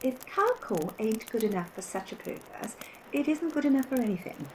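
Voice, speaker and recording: A woman's voice: a little high, very animated, normal speed, darkish tone, slight background noise, low-quality recording. An actress playing a brazen, callous scavenging woman in an audio drama. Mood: brazen, scornful.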